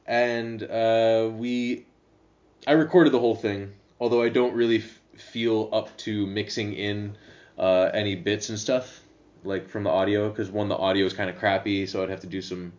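A man's voice: fairly low pitch, fairly even intonation, moderate pace, a little mellow. A young man hosting a casual podcast, rambling with many restarts and casual swearing. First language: English. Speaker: podcast host. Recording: slightly dull lo-fi sound.

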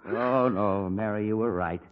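A man's voice: fairly low pitch, a little slow, lightly acted. An actor playing an exasperated middle-aged father with a headache, fussy and easily annoyed, in 1940s radio acting. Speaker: father of a teenage son. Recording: dull, faintly hissy 1940s radio recording.

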